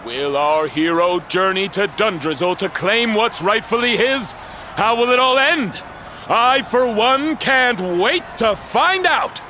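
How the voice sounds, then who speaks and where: An actor playing an excitable, showy male tournament announcer in a video game. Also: slow pace, background noise. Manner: showy, booming.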